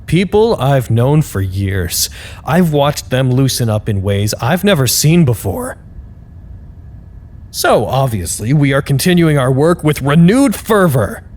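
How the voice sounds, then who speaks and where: A man's voice: medium pitch, sing-song, unhurried, darkish tone. A man playing an earnest, candid site staffer in an audio drama, speaking a reflective and wryly formal log to unseen superiors. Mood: candid, hopeful.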